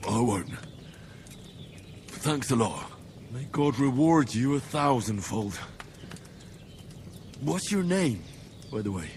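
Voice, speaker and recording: A man's voice: mid-range voice, expressive, slow, long pauses, darkish tone, slight background noise. An actor playing a young man, wounded and earnest, who speaks politely and plainly. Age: young adult. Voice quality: earnest, courteous. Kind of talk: video game dialogue.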